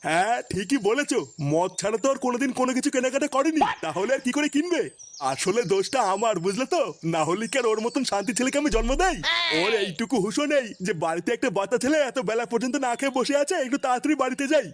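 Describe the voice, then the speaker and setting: A man's voice: very high-pitched, expressive, fast talker. A man voicing a grumbling, foul-mouthed old father-in-law in a comic cartoon, complaining and sarcastic.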